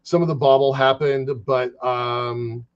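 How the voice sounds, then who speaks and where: A man hosting a podcast interview, chatting casually, halting and repeating words as he goes. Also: medium pitch, fairly even intonation, smooth, muffled recording. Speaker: podcast host.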